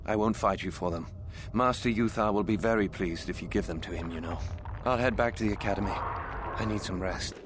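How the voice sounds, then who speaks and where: An actor playing an earnest young Sith student in a video game, speaking plainly. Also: male voice, lowish voice, fairly quick, background noise, close to an everyday voice. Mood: relieved, grateful. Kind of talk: video game dialogue acting.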